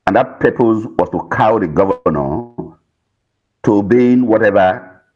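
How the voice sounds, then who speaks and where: A man with a Nigerian accent giving political commentary as a panel guest, emphatic and deliberate, repeating phrases for weight, on muffled audio. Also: on the low side, expressive, a little mellow.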